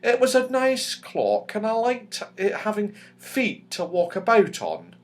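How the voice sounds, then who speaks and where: A man voicing a sweet, excitable young female fairy in a children's storybook, with expressive delivery. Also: sits high, a little slow, voice pushed up. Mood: wistful. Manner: expressive, exclamatory.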